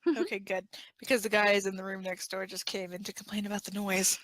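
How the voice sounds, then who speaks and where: Woman playing bright-voiced dramatic young man. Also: mid-range voice, fairly even intonation, brisk, no room sound, pitched below the performer's own voice, natural voice, low-quality recording. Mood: playful, nervous. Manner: teasing, dramatic. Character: playful pleading dramatic young man texting his crush. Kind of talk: fan fiction audio drama dialogue.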